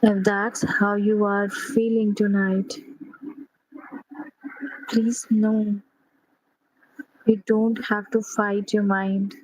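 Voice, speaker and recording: A woman leading a guided sleep meditation in a gentle, unhurried manner, pausing between short phrases. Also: mid-range voice, little pitch movement, poor audio.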